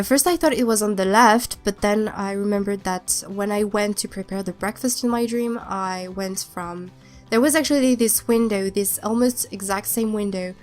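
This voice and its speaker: Stuffy-nosed young woman rambling. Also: medium pitch. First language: not English. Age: young adult. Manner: rambling, hesitant, many restarts. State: stuffed up with a cold. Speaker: YouTuber narrating a speed build. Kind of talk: casual voiceover chat.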